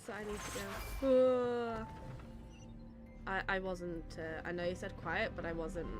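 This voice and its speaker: A woman's voice: fairly low pitch, steady tone, measured pace. A woman giving casual, playful live commentary as she plays a video game, talking to herself and exclaiming. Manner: playful, self-narrating, exclamatory. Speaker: game streamer. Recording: game music playing behind the voice. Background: game music.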